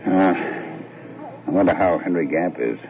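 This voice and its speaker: A man playing an agreeable, plain-spoken family man in a radio drama, unhurried and folksy. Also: sits low, vocal fry, music in the background. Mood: matter-of-fact. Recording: tinny, hissy old radio recording.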